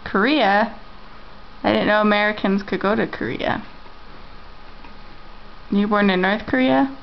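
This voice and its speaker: A woman's voice: mid-range voice, measured pace, gravelly, slight background noise. Woman asking her kids questions at home, repeats their answers, kinda dull lo-fi camera sound. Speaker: interviewer. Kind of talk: casual home interview. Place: family home, indoors.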